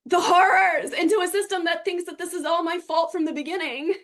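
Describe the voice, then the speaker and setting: A woman's voice: very high-pitched, fairly even intonation, a little fast, fairly bright tone. A woman speaking as an interview guest, telling her personal story in a candid, emphatic way.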